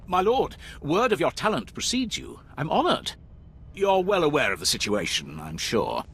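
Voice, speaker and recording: A man's voice: on the high side, very animated, normal speed, fairly bright tone, breathy edge, full character voice. A man playing a brash, bloodthirsty and eager military lieutenant in a video game, with cocky, punchy delivery. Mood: eager, scornful. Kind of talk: scripted game cutscene dialogue.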